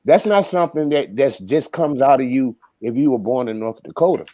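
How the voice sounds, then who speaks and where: A man's voice: mid-range voice, expressive, a little fast, dark tone, no echo. A man in a heated group debate, lecturing and asking probing, leading questions. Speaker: debate participant.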